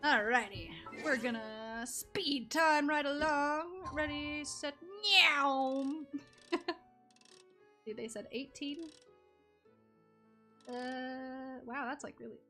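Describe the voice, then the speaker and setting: High, bright, playful woman streamer. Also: slow, long pauses, soft background music. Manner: playful, giggly, little exclamations and sound noises. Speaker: live streamer. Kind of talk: casual game stream commentary.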